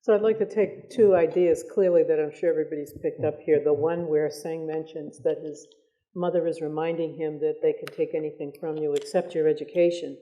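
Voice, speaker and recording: Mellow, articulate American woman moderating. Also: sits low, steady tone, a little fast, rough recording. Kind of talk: public discussion commentary.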